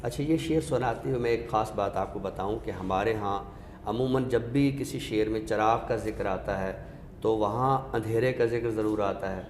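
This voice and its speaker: A man with a Pakistani accent, giving a literary talk with recited verse in a measured, explanatory way with pauses. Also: lowish voice. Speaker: host of an Urdu literature show. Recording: echoey reverberant room, faint noise.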